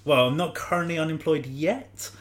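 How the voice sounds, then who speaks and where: A man's voice: on the high side, normal speed, fairly bright tone. Laid-back man in his thirties. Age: 30s. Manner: laid-back, laughs often, casual swearing. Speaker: podcast guest. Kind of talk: casual podcast chat.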